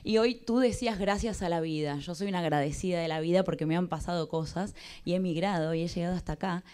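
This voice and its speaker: Low-pitched Argentine woman performer. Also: fast, darkish tone, clear, resonant tone. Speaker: performer, actress and singer. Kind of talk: theatrical stage monologue. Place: TV studio stage. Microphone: handheld microphone.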